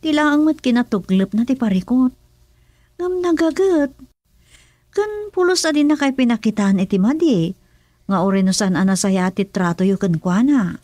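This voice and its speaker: A woman's voice: on the high side, expressive, normal speed, dark tone, no room sound, natural voice. A woman playing a blunt, frank adviser who chides in a comedic radio drama. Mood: chiding.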